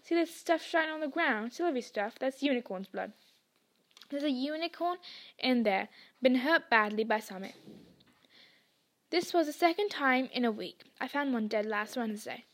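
A woman voicing a huge, gruff, kindly male gamekeeper who talks bluntly. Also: high voice, animated, normal speed, a bit bright.

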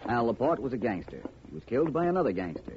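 An actor playing a cool, dry, skeptical private detective in a 1950s radio drama. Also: male voice, mid-range voice, big pitch swings, fast talker, natural voice. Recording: muffled, noisy old radio recording.

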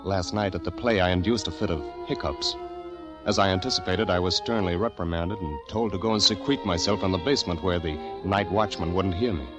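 Actor as earnest anxious gentleman, very low voice, kindly, inquisitive, measured diary delivery, 1940s radio drama, music underneath. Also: close to an everyday voice. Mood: measured narration. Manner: measured diary narration, stammers when agitated.

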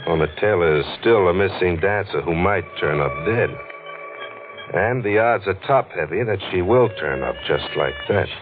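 A man's voice: sits low, lively intonation, moderate pace, music in the background. An American actor playing a tough, cynical newspaperman sleuth in a noir radio drama, with clipped, slangy, pressing delivery. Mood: cynical, brooding. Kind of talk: 1940s radio noir acting with narration. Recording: muffled old radio drama recording.

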